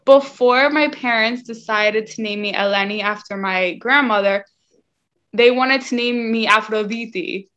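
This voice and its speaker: A woman's voice: fairly high pitch, a little slow, fairly bright tone. A woman hosting a podcast, chatting casually and filling her talk with "like" and "you know". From United States. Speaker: podcast host. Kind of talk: casual chat. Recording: slightly dull lo-fi audio.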